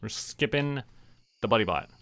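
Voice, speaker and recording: A man giving relaxed game stream commentary, casually thinking aloud and talking to himself and the characters. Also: mid-range voice, moderate pace. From Canada.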